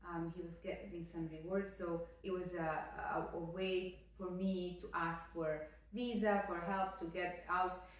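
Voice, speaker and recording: Serbian-accented woman telling her story, halting, lowish voice, super echoey room. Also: little pitch movement. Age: 40s. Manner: halting, hesitant delivery. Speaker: podcast guest. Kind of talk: personal storytelling in an interview. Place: indoors in her house. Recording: very echoey room, distant mic, faint noise.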